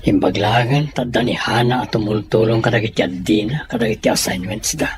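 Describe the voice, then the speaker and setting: A man as a gentle Filipino father over music. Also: lowish voice, a little fast, close to an everyday voice. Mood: fond, musing. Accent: Filipino, Ilocano. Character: gentle, caring father thinking fondly of his children. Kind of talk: audio drama monologue and dialogue.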